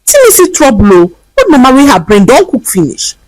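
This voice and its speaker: A woman's voice: high voice, huge pitch swings, fairly quick, a little mellow, dry recording, pitched above the performer's own voice, low-quality recording. A woman voicing a scornful male bystander who mocks in Nigerian Pidgin. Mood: mocking. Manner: mocking, scornful. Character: mocking male street bystander.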